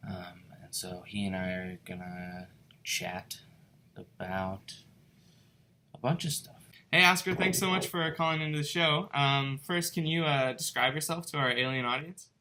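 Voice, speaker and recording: A man hosting a casual interview, soft-spoken and hesitant, with many fillers. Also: mid-range voice, fairly even intonation, measured pace, slight background noise. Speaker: podcast host.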